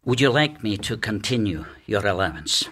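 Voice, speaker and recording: A man's voice: on the low side, very animated, moderate pace, fairly bright tone, husky, full character voice. An actor playing a stern, sarcastic middle-aged father and businessman in a formal period radio drama. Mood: cold.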